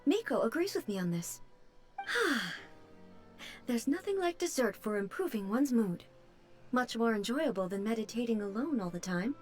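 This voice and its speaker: Calm royal lady, kinda puzzled, lively pitch. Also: faint music behind. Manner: calm, measured, slightly puzzled. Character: regal, composed woman ruler. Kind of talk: game dialogue.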